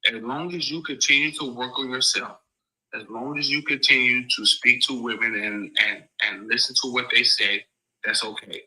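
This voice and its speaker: A man's voice: medium pitch, steady tone, normal speed, sharp tone, husky, rough recording. A man speaking as a guest on a live panel, earnest and preachy, talking straight to the listeners. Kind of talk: casual live panel discussion.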